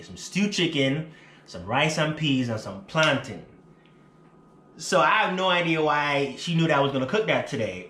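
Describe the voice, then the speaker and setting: A Jamaican-accented man chats straight to his viewers in a relaxed, storytelling way, walking them through home cooking. His voice sits in an ordinary middle pitch. The sound is picked up by a camera mic in a small room with plenty of echo. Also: slight background noise. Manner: chatty, storytelling, addresses viewers directly. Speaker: cooking vlogger. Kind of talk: casual cooking show chat. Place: small apartment living room, then home kitchen.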